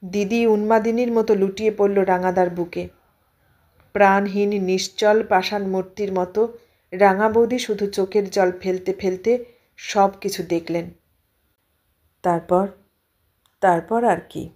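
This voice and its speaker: A woman narrates a literary story in a medium-pitched voice. Her reading is dramatic and emotive, shaped like an audiobook performance. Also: fairly even intonation, unhurried, pauses often, warm tone.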